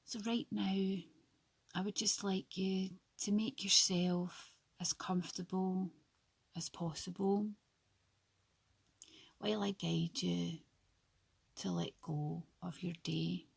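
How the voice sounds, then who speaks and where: A woman leads a guided yoga nidra for sleep at a very slow pace. Her voice is soft and breathy with a good deal of creak at the edges. She speaks in short, hushed, soothing phrases with long pauses between them. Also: lowish voice, steady tone, no echo.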